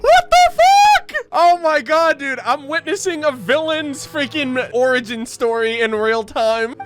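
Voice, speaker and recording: High-pitched, slangy male gaming streamer. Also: measured pace. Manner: sweary, slangy, excitable. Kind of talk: casual live game reaction commentary.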